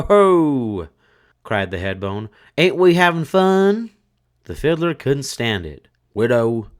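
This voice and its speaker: A man reading a spooky children's story aloud in a dramatic, expressive way. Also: lowish voice. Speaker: storyteller, channel host.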